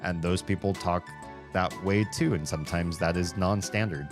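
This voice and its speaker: A man's voice: low voice, normal speed. A man from the Southern United States with a Southern accent, chatting casually on a livestream while gaming, rambling and wry. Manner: rambling, self-interrupting, wry. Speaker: streamer. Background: background music.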